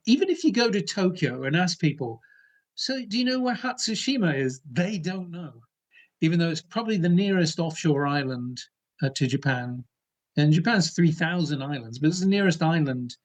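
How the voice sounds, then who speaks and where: A middle-aged man, an archaeologist, explaining things in an interview in a relaxed way, with fillers and restarts. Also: fairly high pitch. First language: English. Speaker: archaeologist guest.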